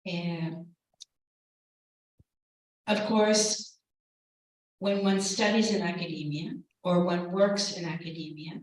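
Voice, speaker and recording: Distant, echoey woman giving a keynote. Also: fairly low pitch. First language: English. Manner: measured, reflective, soft laughs between points. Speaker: keynote speaker, professor. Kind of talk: academic keynote and Q&A answer. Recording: very echoey hall, distant mic.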